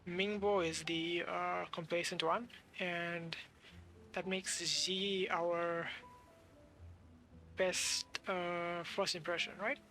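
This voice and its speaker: A video game streamer gives casual commentary in a bright voice with plenty of creak. The delivery is halting, as if thinking out loud, and game music plays behind it. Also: mid-range voice, little pitch movement. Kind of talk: casual let's play commentary.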